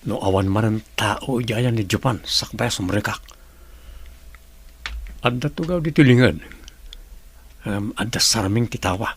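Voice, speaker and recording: Gravelly, gossipy Ilocano snoop. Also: male voice, lowish voice, a little slow, pauses often, slight background noise. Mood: stealthy. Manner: musing aloud to himself. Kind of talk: Ilocano radio drama acting, muttered monologue.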